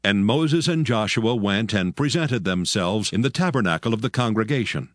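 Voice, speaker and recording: A man narrating scripture as a scripted reading, solemn and measured in manner. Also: lowish voice, lively intonation, no echo, clean studio sound. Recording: loud music under the voice.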